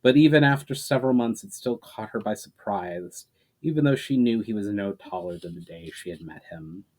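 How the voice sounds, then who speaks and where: A man's voice: on the low side, moderate pace, a little mellow. A man speaking as an author who presents and reads his own fantasy novel aloud in a storytelling style. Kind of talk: dramatic reading of a fantasy novel, with informal introduction.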